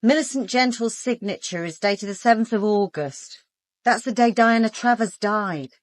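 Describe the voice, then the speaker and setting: A woman's voice: a little high, moderate pace, dry recording, lightly acted. An actress playing an alert, businesslike policewoman in a British radio drama, direct and inquiring. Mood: reasoning. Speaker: actor in a radio murder mystery.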